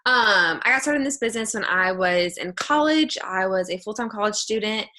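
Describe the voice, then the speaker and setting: Woman, early 20s, from Texas. Very bright tone at medium pitch, chatty and informal team leader talking to an online group. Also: lively intonation, moderate pace. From Texas, United States. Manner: chatty, peppered with "like" and "y'all". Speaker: direct-sales team leader co-hosting a training.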